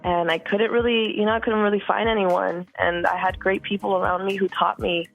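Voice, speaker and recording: A woman in her thirties, from Costa Rica, talking casually as a music guest, relaxed, with many fillers and repeated words. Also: medium pitch, a little fast, gravelly, rough recording. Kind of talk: casual interview chat.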